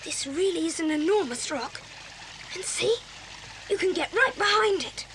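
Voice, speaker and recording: A woman playing an eager, confident boy adventurer with a brisk, encouraging manner in a 1980s children's audio drama. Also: extremely high pitch, lively intonation, background noise. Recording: cassette drama with long reverb.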